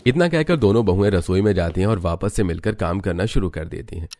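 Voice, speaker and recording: A man with a very low voice narrates a family cartoon story. He delivers scripted storytelling lines as the narrator between the characters' scenes. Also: animated, brisk, a little mellow, no echo.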